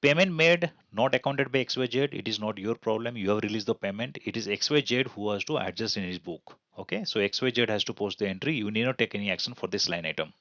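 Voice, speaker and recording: A man's voice: on the low side, quick pace, few pauses, fairly bright tone, no room sound, highs cut off. A man with an Indian accent giving an explanatory accounting tutorial in a didactic way, asking and answering his own questions. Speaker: accounting instructor. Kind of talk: explanatory tutorial over slides.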